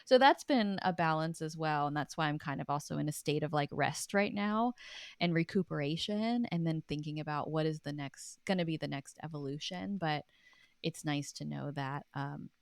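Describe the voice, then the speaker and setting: A woman's voice: low-pitched, normal speed, creaky, no echo, clean studio sound. A woman in her thirties speaking earnestly and emphatically in a reflective, conversational interview as a guest. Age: 30s. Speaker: podcast guest, writer.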